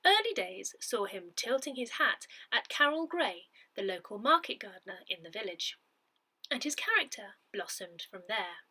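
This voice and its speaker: A woman's voice: mid-range voice, sing-song, normal speed, pushed and bright, breathy edge. A woman reading a newsletter aloud as scripted narration. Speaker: newsletter reader.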